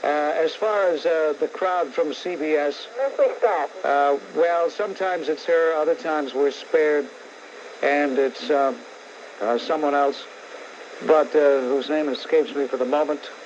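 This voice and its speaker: Blunt, sarcastic New York radio host. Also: male voice, fairly high pitch, fairly even intonation, unhurried. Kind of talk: combative call-in radio talk. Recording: dull 1980s AM radio recording, faint hiss.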